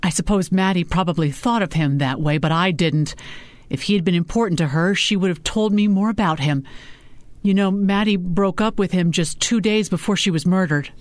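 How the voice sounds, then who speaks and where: A woman voicing a warm, emphatic, grieving father near seventy in an audiobook, with rounded vowels. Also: lowish voice, normal speed, no room sound, voice pushed up, close to an everyday voice. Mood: indignant. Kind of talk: audiobook character dialogue.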